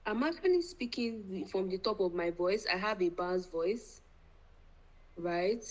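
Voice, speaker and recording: A woman's voice: mid-range voice, lively intonation, a little slow, rough recording. A woman with a Nigerian accent hosting a live online event in an effusive, playful and grandiose manner. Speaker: event host.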